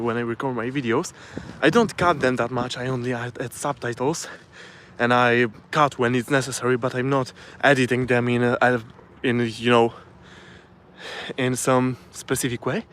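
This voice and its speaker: A man's voice: medium pitch, a bit bright, slight background noise. Polish-accented man vlogging live. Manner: searching for words, frequent fillers and restarts. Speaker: self-improvement vlogger. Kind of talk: casual live-stream monologue. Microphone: phone.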